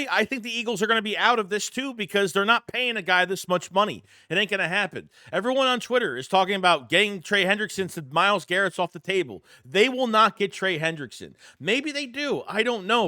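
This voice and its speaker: A man's voice: fairly high pitch, pushed and bright, no room sound, studio quality. Stuffy-voiced man talking sports news. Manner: rambling, conversational, many fillers and restarts. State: congested, under the weather. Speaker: sports channel host. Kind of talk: casual sports news vlog.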